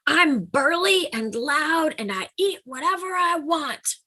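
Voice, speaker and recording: A woman voicing a small, loud female bunny who insists she is a bear, speaking in high, drawn-out, emphatic roars. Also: expressive, heavily acted. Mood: boisterous.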